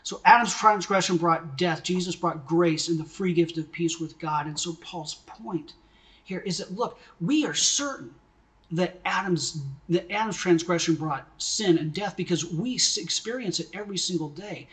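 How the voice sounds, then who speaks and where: A middle-aged man delivers a sermon from his home study, speaking into a desk webcam. He teaches in a conversational way and poses questions to his listeners. His voice sits a little higher than most men's. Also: normal speed, fairly bright tone, rough recording. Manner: conversational teaching, asks questions, gestures with hands. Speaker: pastor. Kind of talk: sermon recorded at home for video.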